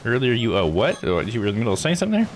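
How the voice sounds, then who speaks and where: A man's voice: mid-range voice, huge pitch swings, rapid-fire, slight background noise. A man giving casual live game commentary, joking, slangy and thinking aloud. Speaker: video game streamer.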